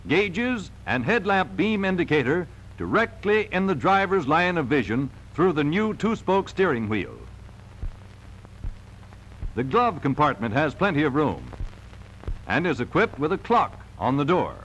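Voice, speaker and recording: Animated, high-pitched 1940s promotional film announcer, male. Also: highs cut off. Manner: emphatic, polished salesman delivery. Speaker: narrator of a car sales training film. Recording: vintage 1940 film soundtrack.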